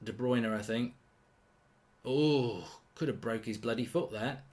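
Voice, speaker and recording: Male host live football watchalong, blunt and chatty, reads viewer messages aloud, mid-pitched voice. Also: unhurried, darkish tone. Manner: chatty, reactive, blunt and indignant with mild swearing. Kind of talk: live match watchalong commentary reading viewer chat.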